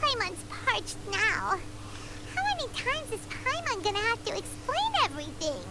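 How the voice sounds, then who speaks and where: Squeaky, excitable little fairy girl. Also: normal speed, very noisy, exaggerated voice. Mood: whiny complaining. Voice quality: squeaky, childlike. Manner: speaks of self in third person, exclaims. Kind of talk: video game voice acting. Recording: voice under loud game music.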